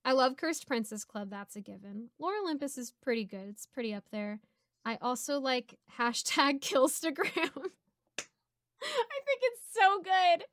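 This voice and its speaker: Giggly, clear-voiced American woman. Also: high voice, big pitch swings, measured pace, a bit bright, dry recording. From United States. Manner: giggly, chatty. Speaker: channel host answering fan questions. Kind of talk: casual Q&A chat.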